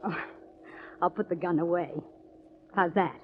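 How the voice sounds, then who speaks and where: A woman's voice: lowish voice, steady tone, unhurried. An actress playing an evasive, armed young woman in trouble, in 1940s radio drama style. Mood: coaxing. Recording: dull, faintly noisy old radio recording.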